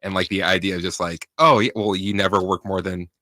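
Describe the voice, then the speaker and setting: Deep, bright-edged man co-hosting radio. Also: fairly even intonation, fairly quick, no room sound, clean studio sound. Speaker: radio show co-host. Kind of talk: casual chat on sports talk radio.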